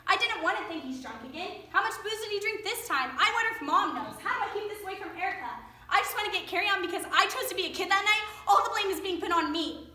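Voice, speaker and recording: A woman's voice: extremely high pitch, animated, fast, bright, forceful tone, heavily acted. A young woman playing a traumatized, guilt-laden teenage girl in a staged monologue. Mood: defensive, distressed. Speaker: student performer in readers theater. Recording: distant echoey stage recording, faint noise.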